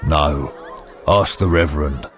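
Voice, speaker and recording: An actor playing a surly, grasping innkeeper in a fantasy video game, brusque and unwelcoming. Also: male voice, rumbling low, takes it slowly, fairly bright tone, soft background music, some chatter behind, exaggerated voice. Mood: grudging. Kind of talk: video game dialogue acting.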